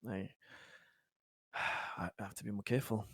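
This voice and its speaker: A man plays an injured, weary man in a tabletop roleplaying game. His voice is low and very unhurried, with a close, dry sound. He talks haltingly and anxiously, hesitating and sighing as he goes. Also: fairly even intonation, fairly bright tone, no echo. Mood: weary, troubled.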